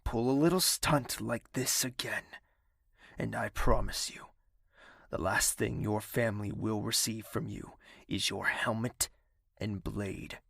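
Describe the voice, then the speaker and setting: A man playing a brooding, menacing young prince in an audio drama, speaking in a measured, gravelly, husky voice. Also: fairly low pitch, fairly even intonation, fairly bright tone, no room sound, clean studio sound, exaggerated voice. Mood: cold menace. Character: brooding, menacing young prince, tender toward his mother.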